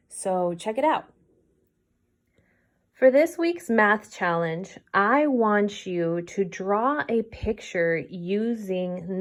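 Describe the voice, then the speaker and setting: A woman math teacher giving friendly instructions to young pupils. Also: mid-range voice. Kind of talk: recorded lesson for children.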